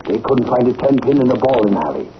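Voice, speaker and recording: An actor playing a blustering, good-natured and rather dim ex-cop reporter in an old-time radio drama. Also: male voice, mid-range voice, expressive, fast talker, natural voice. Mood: cocky, eager. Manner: folksy, blustering, slangy. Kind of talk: 1930s-1940s American radio drama acting. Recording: muffled, noisy old radio recording.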